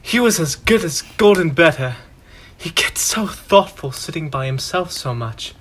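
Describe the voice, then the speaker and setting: Young guy acting a gentle poor dad, soft prayerful, bit high voice, faint noise. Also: expressive, a little slow. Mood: tender. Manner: gentle, warm-hearted, prayerful. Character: humble, gentle poor father and clerk. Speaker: student actor.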